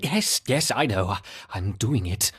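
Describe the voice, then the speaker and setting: A man's voice: fairly low pitch, lively intonation, slow, a bit bright, husky, exaggerated voice. A man playing a put-upon, obsessive lab scientist in a game, with a muttering, theatrical delivery. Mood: sulky, muttering. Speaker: laboratory researcher. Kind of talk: video game character acting.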